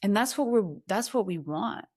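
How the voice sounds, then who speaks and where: A woman's voice: medium pitch, lively intonation, a little mellow. Ukrainian-born woman, earnest and reflective. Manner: reflective, earnest, slows down on emotional points. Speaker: podcast guest, author. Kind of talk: personal storytelling in conversation.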